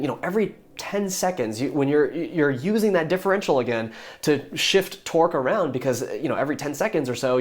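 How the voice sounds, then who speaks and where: Hoarse, chatty young man explaining. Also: medium pitch. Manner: enthusiastic, rambling with fillers and restarts. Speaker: YouTube car reviewer. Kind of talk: casual explainer talk.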